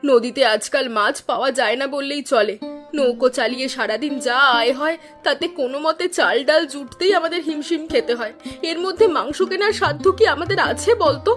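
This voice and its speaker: Casting: actress as poor family's mother, careworn and soothing, high voice, cartoon drama with clear music behind. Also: moderate pace, natural voice. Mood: gentle, weary. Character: gentle, worried mother and wife in poor family. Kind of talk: cartoon voice acting.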